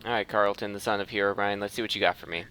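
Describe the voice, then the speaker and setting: A man's voice: fairly low pitch, fairly even intonation, very fast, pushed and bright, slight background noise. A man giving casual gameplay commentary, rambling and thinking aloud as he plays. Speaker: gaming video host.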